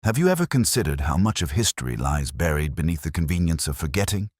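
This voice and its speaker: A man voicing a brooding, coolly logical Victorian detective in an audiobook, speaking gravely and deliberately. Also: extremely low pitch, big pitch swings, no room sound, clean studio sound, full character voice. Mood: brooding, reflective.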